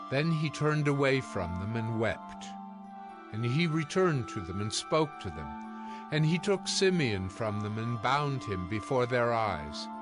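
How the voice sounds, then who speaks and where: Solemn male narrator over clear music. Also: mid-range voice. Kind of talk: scripted scripture narration. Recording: music behind the voice.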